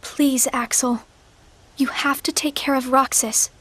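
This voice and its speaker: A woman playing a gentle but resolute teenage girl in a video game, anguished and softly pleading. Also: high-pitched, fairly even intonation, a little slow.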